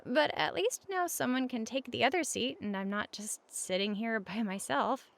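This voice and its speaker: A woman playing a friendly, reassuring roommate in a conversational comfort roleplay, speaking gently and casually at regular volume. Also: on the high side, moderate pace, natural voice. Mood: relaxed, chatty. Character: friendly, reassuring young female roommate offering company.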